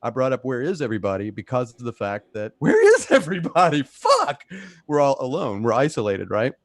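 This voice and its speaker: A man co-hosting a home radio drama show, chatting casually and repeating words haltingly as he explains. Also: medium pitch, wildly swooping pitch, no room sound, clean studio sound. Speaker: radio drama actor and co-host. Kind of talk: casual chat during intermission.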